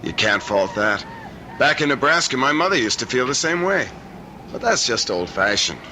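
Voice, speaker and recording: An actor playing a breezy, sceptical young American bridegroom in a radio drama, slangy and teasing. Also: male voice, mid-range voice, big pitch swings, moderate pace, pushed and bright. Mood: breezy, affectionate. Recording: noisy old radio drama recording.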